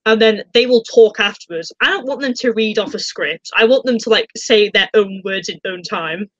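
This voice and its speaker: A woman's voice: medium pitch, normal speed, dry recording, lo-fi sound. A young woman chatting casually and giving opinions in a rambling, informal way, often repeating phrases. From northern Britain. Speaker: podcast co-host.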